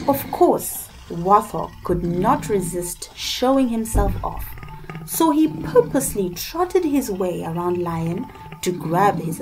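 A woman narrating a scripted folktale as an expressive storyteller, with deliberate emphasis. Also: medium pitch, rough recording.

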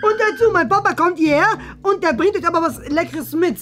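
A man's voice: very high-pitched, lively intonation, brisk, pushed and bright, exaggerated voice. A young man playing a nerdy, eager schoolboy in a classroom comedy sketch, fussy, pleading and hesitant. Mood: eager, nervous. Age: young adult. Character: nerdy, eager schoolboy desperate to impress his father. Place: small bright classroom, indoors. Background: faint music.

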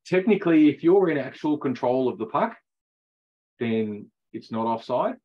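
A man's voice: mid-range voice, lively intonation, normal speed. A middle-aged man coaching ice hockey, explaining the rules in a chatty online session and laughing at his own jokes. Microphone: video call. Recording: dull lo-fi video call audio.

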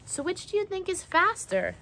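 A woman's voice: very high-pitched, big pitch swings, normal speed, creaky, pushed and bright, a little hiss or hum. A young adult woman reading a children's picture book aloud expressively, dramatizing the verses and putting questions to her young listeners. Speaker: read-aloud storyteller. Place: outdoors on a wooden backyard deck.

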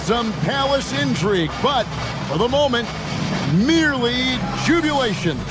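A man playing an energetic, polished TV basketball play-by-play announcer in a video game, speaking emphatically. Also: extremely high pitch, measured pace. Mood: emphatic, excited. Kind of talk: sports broadcast commentary. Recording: loud music and crowd behind. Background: arena crowd and music.

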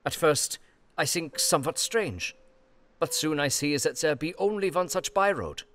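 A man reading a journal in an audio drama, speaking earnest, halting, foreign-phrased English. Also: a little high, animated, a bit bright. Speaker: narrator reading an elderly professor's journal. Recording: music bed under voice.

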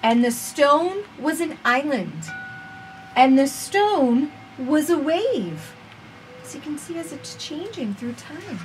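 Lady doing storytime for kids, slow deliberate reading, very up and down voice, camera mic a bit far off outside. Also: high-pitched, very animated, soft background music. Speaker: children's storytime reader. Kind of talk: expressive picture-book read-aloud with asides. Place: outdoors on a house porch. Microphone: camera a few feet away. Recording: distant camera mic, faint outdoor noise.

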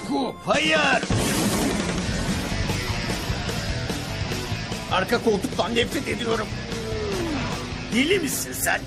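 A man voicing a nervous, grumbling young sidekick in a cartoon dub, excitable and quick to complain. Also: very high voice, loud music behind the voice. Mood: panicked. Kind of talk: Turkish cartoon dub acting.

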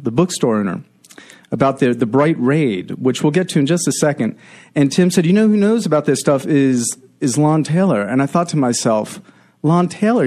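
A man's voice: on the high side, darkish tone. A man hosting a conversational interview on the radio from a studio.

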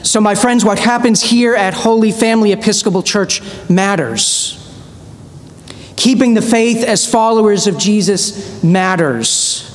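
A middle-aged male priest giving a measured, earnest sermon from a church pulpit, pressing on key words with slow emphasis. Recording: reverberant church with faint rumble.

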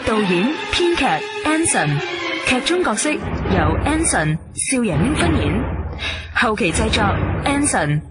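A woman's voice: on the high side, very animated, unhurried, over loud music. A woman with a Cantonese accent delivering a dramatic scripted introduction as a radio drama announcer.

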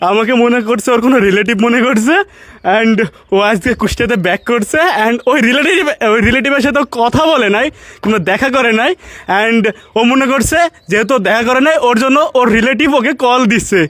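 Young male vlog host, Bangladeshi accent, high voice, casual code-switching, close dry sound. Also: fairly quick, a bit bright, no echo. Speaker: prank video host. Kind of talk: casual vlog talk mixed with English words.

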